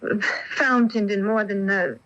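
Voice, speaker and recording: A woman's voice: mid-range voice, unhurried, a bit bright, close to an everyday voice. An actress playing a curious young woman in a radio mystery drama, hesitant and wondering. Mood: curious, eager. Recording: dull, lo-fi AM radio tape.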